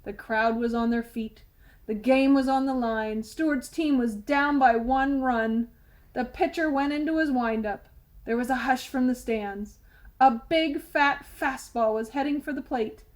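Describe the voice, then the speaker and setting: A woman reading a children's storybook aloud as scripted narration, with deliberate, dramatic emphasis. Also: sits high.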